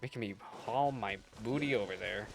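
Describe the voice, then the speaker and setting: Casting: casual playthrough commentator, middling pitch, slangy and exclamatory, faint game music underneath. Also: normal speed, gravelly. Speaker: game streamer.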